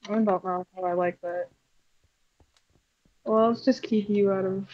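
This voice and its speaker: A woman's voice: medium pitch, fairly even intonation, measured pace, a little mellow, no echo, dull audio, lightly acted. A woman voicing a wary, irritated puppet in an improvised game roleplay, guarded and blunt. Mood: reluctant. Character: wary, irritated female puppet, stubbornly refusing her evil double.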